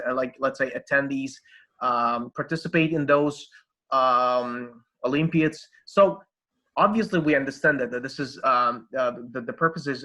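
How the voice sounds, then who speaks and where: A middle-aged man talks as an interview guest with a medium-pitched voice. He explains like a teacher, with emphasis, often repeating a phrase before he moves on. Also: sharp tone. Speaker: podcast guest, language teacher.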